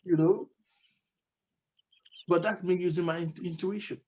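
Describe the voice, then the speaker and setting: Male co-host, husky voice, earnest and encouraging, conversational. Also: sits high, fairly even intonation, a little slow, a little mellow. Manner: earnest, encouraging, repeats "you know". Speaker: podcast co-host. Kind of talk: motivational talk show chat.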